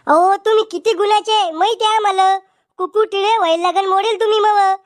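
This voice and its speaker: A man voicing a cheeky, quarrelsome village cartoon character with a Varhadi Marathi accent and a pitched-up voice. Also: fairly even intonation, a little fast, dry recording, clean studio sound. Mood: cheeky, sarcastic. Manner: brash, sarcastic, bickering.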